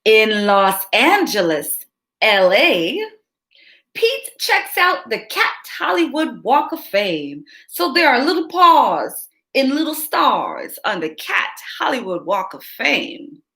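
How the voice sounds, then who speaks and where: A woman reading a picture book aloud to young children, expressive and teacherly, often asking them questions. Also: on the high side, takes it slowly. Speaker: children's story reader. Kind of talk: read-aloud storytelling for young kids. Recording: roomy echo.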